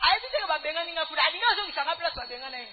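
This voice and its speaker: A woman preacher with a Congolese accent gives an emphatic, scolding church testimony in short phrases, pausing for interpretation. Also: very high voice, lively intonation, crowd noise. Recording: tinny phone-like band, loud noise.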